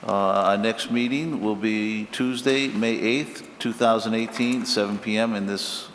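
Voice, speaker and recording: A man chairing a school committee meeting, moving through the agenda in a brisk, procedural way. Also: lowish voice, steady tone. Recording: echoey hall with faint noise.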